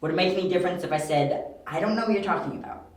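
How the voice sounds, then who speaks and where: A man acting a quick-witted, unflappable undercover detective with a wry, dryly joking manner in an amateur audio drama. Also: high-pitched, close to an everyday voice. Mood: dry, caught out. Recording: very echoey, distant, faint noise.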